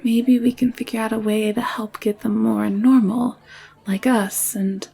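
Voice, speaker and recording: A woman playing a gentle, protective alien caretaker in an intimate audio roleplay, speaking softly and soothingly. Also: fairly high pitch, steady tone, unhurried, gravelly, husky, full character voice. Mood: earnest, soft.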